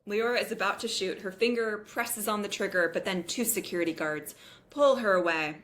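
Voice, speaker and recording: A woman's voice: mid-range voice, normal speed, a bit bright. A woman reading screenplay stage directions as narrator in scripted table-read style.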